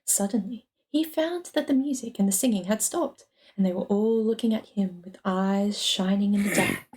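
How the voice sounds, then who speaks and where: A woman's voice: medium pitch, moderate pace, a little mellow. A young woman streamer reading a story aloud and chatting casually. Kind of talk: dramatic storybook narration and casual chat.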